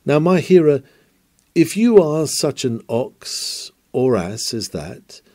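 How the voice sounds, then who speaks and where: A man's voice: mid-range voice, very animated, takes it slowly, a little mellow. A man reading aloud an old sermon in a declamatory preaching style, pushing up hard on exclamations and questions. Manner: declamatory, rising emphasis on exclamations. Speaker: reader of a sermon.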